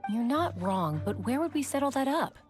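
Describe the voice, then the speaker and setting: A woman's voice: sits high, very animated, moderate pace. A woman playing a composed, wry innkeeper boss in a video game, knowing and conversational. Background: music.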